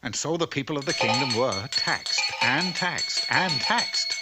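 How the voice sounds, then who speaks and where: A man's voice: medium pitch, expressive, measured pace, loud music behind the voice. A man narrating a fairy tale as a wry, scripted storybook narrator.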